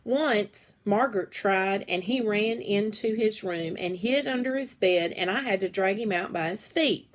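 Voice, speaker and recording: A woman reading a children's story aloud in an expressive storytelling style. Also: medium pitch, measured pace, hardly any pauses. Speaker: read-aloud reader.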